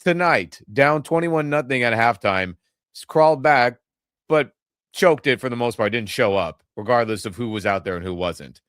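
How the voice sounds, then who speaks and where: A man's voice: medium pitch, normal speed, smooth, no room sound, studio quality. A man co-hosting a sports podcast with a head cold and a nasal voice, venting about his team's loss. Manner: irritated, venting, animated. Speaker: podcast co-host. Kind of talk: casual sports reaction chat. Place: home in Los Angeles. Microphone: webcam setup.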